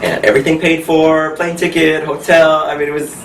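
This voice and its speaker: A man from the United States in his thirties, a pianist and coach answering interview questions in a friendly, unhurried way with frequent laughs. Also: on the high side, flat delivery, a bit bright. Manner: friendly, laughs often, speaks slowly and clearly. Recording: echoey room recorded from a distance with noise.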